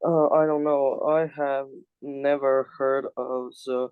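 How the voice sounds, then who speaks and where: Hesitant, smooth-voiced male student. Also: medium pitch, flat delivery, slow, phone-quality sound. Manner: hesitant, short answers with fillers. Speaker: English student.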